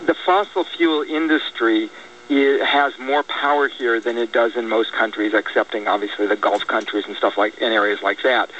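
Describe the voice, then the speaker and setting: An American man speaking in a political radio discussion, halting and repeating words as he reasons things out. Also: mid-range voice, a bit bright. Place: radio broadcast. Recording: dull lo-fi radio, distant, faint noise.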